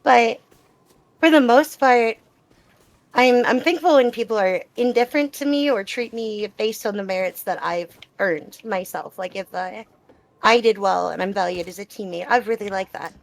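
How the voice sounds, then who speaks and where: A woman's voice: mid-range voice, normal speed, creaky, rough recording. A woman gamer answering questions in a casual live Q&A, talking in a reflective, explanatory and conversational way. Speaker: gamer being interviewed. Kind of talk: live Q&A, casual personal talk.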